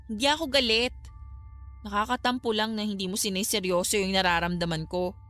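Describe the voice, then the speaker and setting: A woman's voice: medium pitch, steady tone, a little fast, a bit bright, no room sound, soft background music, close to an everyday voice. A Filipino woman playing a troubled young vlogger, haunted, weary and easily hurt, in a radio drama.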